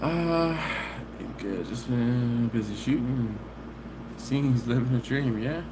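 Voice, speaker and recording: A man, hosting a casual live stream from home on his phone and chatting easygoing with viewers. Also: medium pitch. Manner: easygoing and chatty, with drawn-out "mm-mm" hums. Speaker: barbecue channel host. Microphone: phone camera. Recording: live stream audio with background hiss.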